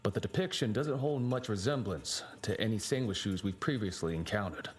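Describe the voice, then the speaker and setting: An actor playing a serious official who briefs monster hunters in a video game, formal and matter-of-fact. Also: male voice, on the low side, fairly quick, close to an everyday voice. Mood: serious, expository.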